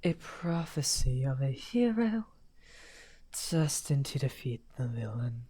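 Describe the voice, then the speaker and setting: A man playing a misunderstood outcast necromancer wizard, smugly amused and taunting, in an unhurried, breathy, often half-whispered ASMR roleplay. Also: medium pitch, big pitch swings, dark tone, heavily acted. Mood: bitter, confiding. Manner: unhurried, taunting, drawn-out phrasing. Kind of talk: slow theatrical ASMR roleplay.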